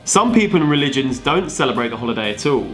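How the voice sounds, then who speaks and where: Dry male explainer with medium-pitched voice. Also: very animated, fast talker, faint music behind. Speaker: video co-presenter. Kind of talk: scripted explainer narration with banter.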